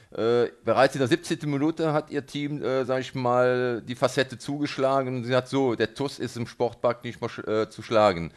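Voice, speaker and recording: A man hosting a local sports radio interview, phrasing his questions in a halting, hesitant way. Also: medium pitch. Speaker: radio host interviewing a football coach.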